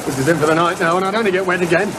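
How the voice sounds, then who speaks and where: In a radio drama, a male actor plays a worried, polite constable. His voice sits high and he hesitates respectfully. Heavy rain noise surrounds him. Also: rapid-fire, natural voice. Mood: concerned, polite. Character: earnest, concerned male police constable. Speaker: police constable. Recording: loud rain noise, slightly distant.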